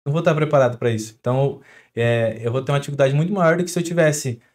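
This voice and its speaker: A young adult man with a Brazilian Portuguese accent, a doctor guest on a podcast, explaining with everyday examples in a quick, chatty way. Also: medium pitch, darkish tone. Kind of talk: podcast interview.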